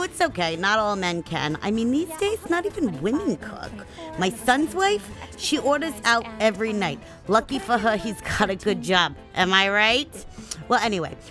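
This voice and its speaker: A woman's voice: mid-range voice, fairly bright tone, lightly acted. A woman doing a gossipy New York grandma. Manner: gossipy, kvetching. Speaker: neighborhood watch host.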